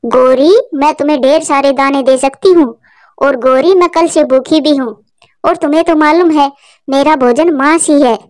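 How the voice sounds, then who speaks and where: Woman playing a stern, high-voiced eagle auntie. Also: normal speed, a little mellow, no echo, studio quality, close to an everyday voice. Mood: plaintive. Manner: commanding, scolding. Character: stern, protective female eagle auntie, threatening villains. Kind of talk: Hindi children's cartoon dubbing.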